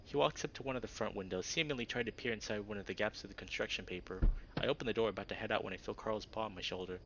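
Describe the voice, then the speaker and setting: A man's voice: lowish voice, very fast, fairly bright tone, muffled recording, slight background noise. A man reading as a reserved, dryly wry furry protagonist narrating a visual novel in first person. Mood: measured, wry.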